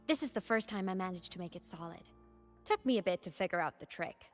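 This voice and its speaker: A woman's voice: fairly low pitch, lively intonation, fast talker, soft background music, close to an everyday voice. A woman voicing a playful, teasing digital ghost girl in a video game, breezy and casual but determined. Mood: playful, warm. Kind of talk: video game story voice acting.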